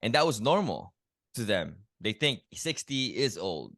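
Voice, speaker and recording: A man's voice: lowish voice, sing-song, a little slow, gravelly, fairly bright tone, no room sound, clean studio sound. A man from the Philippines, a health coach telling his own story as a guest in a podcast interview, earnest and reflective, with many "you know" fillers.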